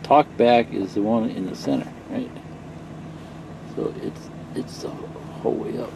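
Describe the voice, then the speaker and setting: A man giving a casual hands-on tutorial, talking haltingly as if thinking aloud. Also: medium pitch, creaky. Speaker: host of a beginner radio tutorial. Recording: loud steady hum and hiss.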